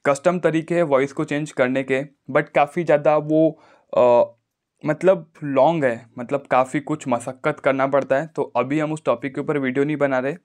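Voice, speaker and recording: A man's voice: on the high side, steady tone, normal speed. Man explaining phone features. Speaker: tech tutorial YouTuber. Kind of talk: casual screen-recorded phone tutorial.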